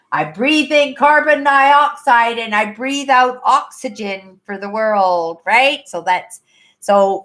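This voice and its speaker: A woman in her fifties talks to her viewers from her apartment in a slightly high voice. She rambles, circles back over her own words and keeps checking in with "right?". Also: pushed and bright. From Canada. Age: 50s. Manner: rambling, repeats herself, tags sentences with "right?". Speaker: livestream host. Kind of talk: casual live chat to viewers.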